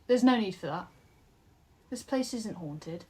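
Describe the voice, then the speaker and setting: A woman's voice: medium pitch, expressive, a little slow, mellow, slightly rough. A woman acting in an audio drama as a bored, sceptical young woman with a dry, dismissive, sarcastic manner. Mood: exasperated, sarcastic.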